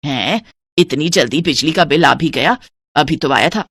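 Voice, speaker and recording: A woman's voice: low-pitched, moderate pace, a bit bright, slightly rough, voice pushed down, exaggerated voice. A woman voicing a stingy, scolding middle-aged mother-in-law in a cartoon moral story, bossy and grumbling.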